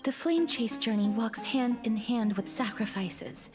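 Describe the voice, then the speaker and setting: A woman playing an earnest, gentle, solemn young-sounding female demigod in an anime-style video game, speaking formally and a little hesitantly. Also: fairly high pitch, expressive, a little slow, over music. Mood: solemn, guarded.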